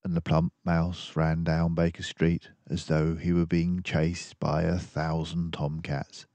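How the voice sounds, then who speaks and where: An older man reading a children's book aloud in an expressive narrating style. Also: sits low, slow, no room sound. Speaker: storybook reader.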